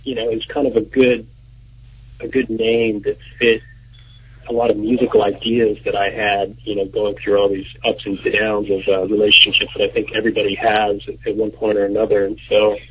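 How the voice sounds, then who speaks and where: Husky thirties man, relaxed and rambling. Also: on the low side, brisk. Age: 30s. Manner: relaxed, rambling, many fillers. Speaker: band member, producer. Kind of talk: casual radio interview. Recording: muffled lo-fi radio recording.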